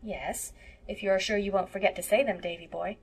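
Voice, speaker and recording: A woman voicing a gentle, earnest young woman with a proper, soft-spoken manner in an audiobook. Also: medium pitch, fairly even intonation, normal speed, breathy edge. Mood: soothing. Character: gentle, earnest, romantic young woman, fond elder guardian. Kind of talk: audiobook character voice.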